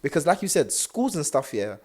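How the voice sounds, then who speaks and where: Halting, thoughtful young man. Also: on the high side, very animated, a little mellow, breathy edge. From South London, England. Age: young adult. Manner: thoughtful, halting, stutters, many fillers. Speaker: podcast co-host. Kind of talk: casual chat.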